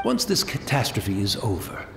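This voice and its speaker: An actor playing a humble, serene elderly blind tea master in a video game, speaking with formal, wistful courtesy. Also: male voice, medium pitch, huge pitch swings, moderate pace, creaky. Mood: wistful, resigned. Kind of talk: anime-style video game voice acting. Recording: roomy echo, faint ambient noise.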